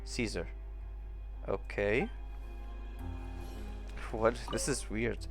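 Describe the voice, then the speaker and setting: A man's voice: fairly low pitch, drawn-out words, gravelly. A man giving casual, off-the-cuff commentary while playing a video game. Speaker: gaming streamer. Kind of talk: casual gameplay commentary, reading in-game notes aloud. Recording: game music behind the voice. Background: video game music.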